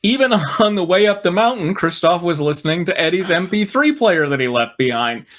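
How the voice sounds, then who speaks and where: A man's voice: sits high, sing-song, a little fast, fairly bright tone. A man reading a story aloud as host and breaking off for sarcastic, irreverent asides. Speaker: host and reader on a fan fiction riffing show.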